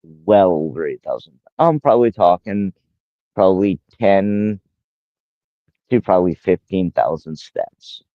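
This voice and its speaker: A man's voice: deep voice, animated, unhurried, no room sound. Man, 40s, rambling livestreamer who repeats himself; dead dry, muffled lo-fi sound. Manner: rambling, addresses a viewer by name, repeats himself. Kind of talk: casual livestream talk.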